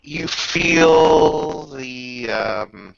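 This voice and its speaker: A man narrating an improvised tabletop game as game master, halting with drawn-out pauses as he describes the action. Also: mid-range voice, wildly swooping pitch, gravelly, slight background noise. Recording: dull lo-fi voice recording.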